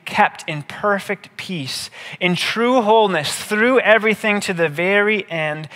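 A man in his thirties, a pastor giving a measured, scripted sermon with deliberate phrasing. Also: fairly high pitch, pushed and bright. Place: indoor stage, seated at a table. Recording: reverberant hall.

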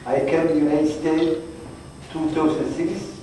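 A man's voice: a little high, flat delivery, measured pace, dark tone, a little hiss or hum. Man introducing himself in a roomy hall. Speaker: adult learner. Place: brick-walled hall with a seated audience. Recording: roomy, distant hall recording.

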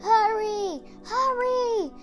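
A woman voicing an excitable, boastful young boy from a storybook with dramatic exclamations. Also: very high-pitched, drawn-out words, faint music behind, pitched above the performer's own voice, exaggerated voice. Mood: panicked.

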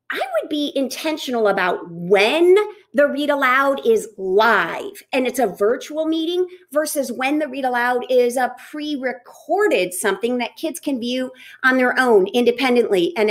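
Middle-aged woman, high voice, energetic teacher-trainer delivery, small office on webcam. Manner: energetic, talks with her hands. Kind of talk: educational advice video. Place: office with wooden cabinets, indoors.

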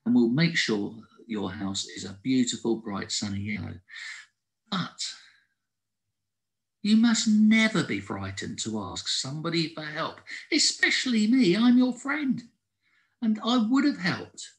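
A man's voice: a little high, expressive, measured pace, voice pushed up. A man doing Howard, a kindly hare. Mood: reassuring. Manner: gentle, reassuring. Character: kindly, helpful male hare.

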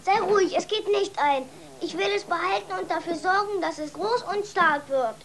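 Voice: very high-pitched, normal speed, a bit bright. A performer playing an earnest, insistent little farm boy in a children's radio play. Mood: stubborn, earnest. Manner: pleading, insistent.

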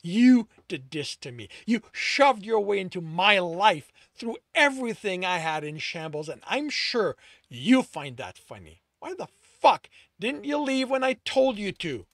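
A man voicing an anguished male creature with fur, shouting and swearing through tears. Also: sits high, big pitch swings, a little slow, no room sound, studio quality, pitched above the performer's own voice, exaggerated voice. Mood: furious. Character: anguished furred male creature, bitter and furious. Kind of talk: audiobook reading by the author.

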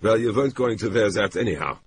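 A man's voice: on the low side, lively intonation, fast, noisy background, voice pushed up, lightly acted. Man playing an agitated, exasperated man, clipped and urgent. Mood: firm, urgent. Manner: urgent, insistent, short clipped sentences. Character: agitated, exasperated man tending a disturbed woman.

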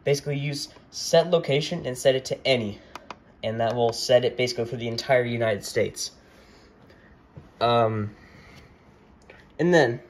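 A man giving a casual hands-on tech tutorial in a hesitant, halting way, often repeating himself, recorded indoors at a desk. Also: medium pitch. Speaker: tutorial maker. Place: indoor room at a wooden desk. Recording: noticeable room background noise.

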